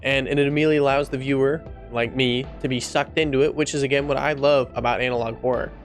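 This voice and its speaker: A man's voice: medium pitch, fairly even intonation, quick pace, soft background music. A man giving casual opinion commentary as a video host, informal and profane, often repeating himself for emphasis.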